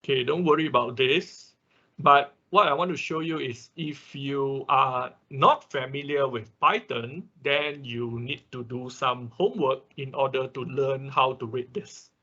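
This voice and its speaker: A man teaching an online programming class in an informal, halting, step-by-step way, often checking in with a short tag question. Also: medium pitch, sharp tone. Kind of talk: informal screen-share tutorial. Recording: dull, band-limited online class audio.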